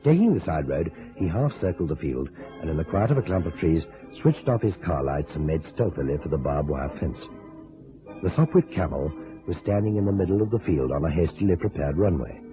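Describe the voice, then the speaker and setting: A man's voice: a bass voice, sing-song, a little fast, dull audio. A man narrating a detective story in a dramatic, scripted old-time radio style. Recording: band-limited old radio recording with music.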